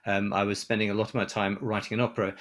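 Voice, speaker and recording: A man from South Africa talks through his new string quartet, pausing often and restarting his sentences. His voice sits on the low side. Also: level tone, fairly bright tone. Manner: hesitant, lots of ums and restarts. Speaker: composer and lecturer. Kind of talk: conference talk. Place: online symposium.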